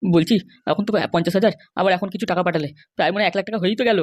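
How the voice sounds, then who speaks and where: A man's voice: high-pitched, racing, dry recording, clean studio sound. A man voicing a henpecked, pleading middle-aged cartoon husband with a West Bengal accent. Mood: wheedling. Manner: wheedling, grumbling. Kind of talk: comic cartoon dialogue.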